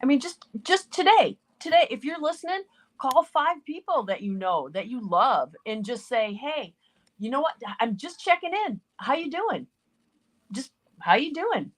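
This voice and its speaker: A woman hosting a chat show, reacting quickly and cheering the audience on. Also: high voice, expressive. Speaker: host. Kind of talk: casual chat.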